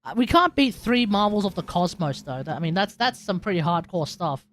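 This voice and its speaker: A woman's voice: fairly low pitch, lively intonation, fairly quick. A woman hosting a livestream with casual game commentary, thinking aloud and correcting herself as she goes. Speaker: livestream host. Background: game music.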